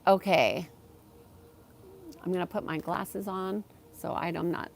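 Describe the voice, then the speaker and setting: A woman hosting a live stream from her backyard, talking slowly and softly, pausing with ums as she reads and answers chat comments. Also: lowish voice, fairly even intonation, a little hiss or hum. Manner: slow, soft-spoken, with frequent ums.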